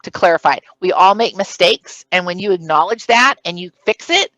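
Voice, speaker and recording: A woman's voice: fairly low pitch, expressive, a little fast, fairly bright tone, no room sound, rough recording. A woman presenting a conference session on interview preparation. She speaks in a strong, projected voice and lays out emphatic, numbered points. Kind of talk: recorded conference talk giving career advice.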